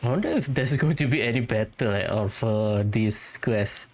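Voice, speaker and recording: A woman's voice: extremely low pitch, moderate pace, a little mellow, a little hiss or hum. A woman giving casual commentary as she plays through a game. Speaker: gamer commentator. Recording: faint game music behind. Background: game music.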